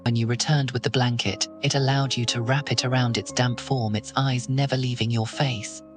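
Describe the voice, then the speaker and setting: A man narrating a horror audio roleplay in the second person, with a steady, ominous delivery. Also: medium pitch, moderate pace, over music.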